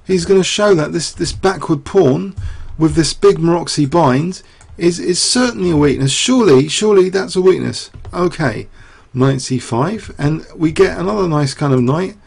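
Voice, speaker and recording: Chess commentator, male, fairly high voice with a rough, raspy edge, thinking aloud, losing his voice. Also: expressive, normal speed, few pauses, a little mellow. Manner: rambling, thinking aloud with many fillers and rhetorical questions. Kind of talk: chess game analysis.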